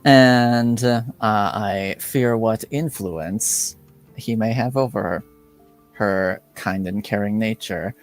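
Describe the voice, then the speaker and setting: Man playing a grave, courteous, measured father. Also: fairly low pitch, soft background music. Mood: somber, courteous. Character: grave, formal father, protective of his daughter.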